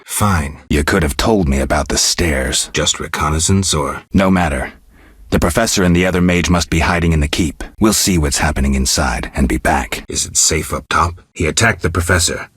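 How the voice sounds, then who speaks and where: An actor playing a stoic, world-weary monster hunter in a video game, gravelly and terse with a deadpan restraint. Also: male voice, a bass voice, normal speed, no room sound, clean studio sound, heavily acted. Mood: terse, matter-of-fact. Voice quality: gravelly, husky. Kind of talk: video game character dialogue.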